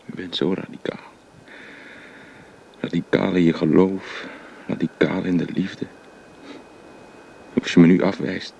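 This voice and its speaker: An ardent suitor in a Dutch radio play, speaking low and earnestly as he pleads. A faint ambient hiss sits under his voice. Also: flat delivery, a little slow, vocal fry, long pauses, a little mellow, muffled recording. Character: Jaap, restless ardent suitor.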